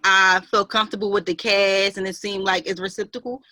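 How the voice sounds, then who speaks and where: A woman's voice: mid-range voice, little pitch movement, brisk, sharp tone, dry recording. A woman chatting casually as an actor on a video-call panel. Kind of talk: casual chat.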